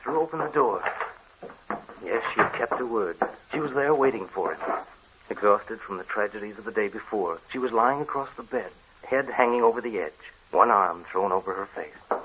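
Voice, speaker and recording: A man narrating an old radio horror drama in a grave, measured way. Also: lowish voice, sharp tone, slight background noise, low-quality recording.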